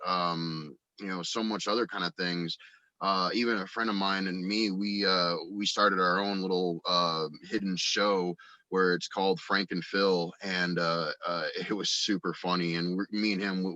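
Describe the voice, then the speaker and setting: A man from the United States chatting casually as an interview guest, rambling and halting as he feels for his words. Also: low voice, sharp tone, no echo. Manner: halting, rambling, frequent verbal hedging. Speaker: guest, audio drama actor and writer. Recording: dry, dull lo-fi voice recording.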